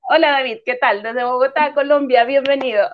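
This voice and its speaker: A woman's voice: sits high, fairly even intonation, a little fast, lo-fi sound. A woman moderating a webinar who introduces the speaker and often adds "¿cierto?" to her sentences. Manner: guiding, uses "¿cierto?" tags. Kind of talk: hosting a webinar.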